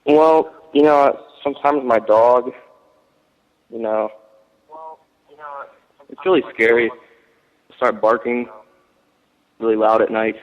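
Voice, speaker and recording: A man's voice: medium pitch, fairly even intonation, takes it slowly, pauses often, highs cut off. Hesitant male caller, thin reverberant phone sound. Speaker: caller to a live show. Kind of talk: phone-in call.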